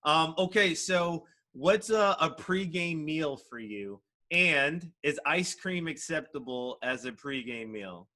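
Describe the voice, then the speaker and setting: A man's voice: a little high, expressive, slow pace, hardly any pauses, pushed and bright. A man hosting a podcast, asking questions in a casual, joking way. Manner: easygoing, joking. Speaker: podcast host.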